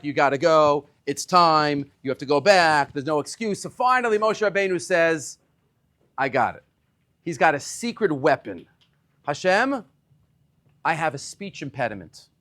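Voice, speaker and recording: A middle-aged man, a rabbi giving an animated yeshiva talk, acting out dialogue and mixing Hebrew terms into English. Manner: animated, acts out dialogue with big pitch swings. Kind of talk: animated Torah lecture to students. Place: yeshiva classroom.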